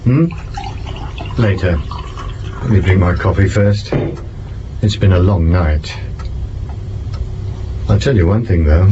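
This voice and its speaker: An actor playing a gruff, sceptical and commanding French police commissioner in an audio drama. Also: male voice, sits low, slow pace, pauses often. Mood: weary, gruff. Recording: dull sound over noisy ambience.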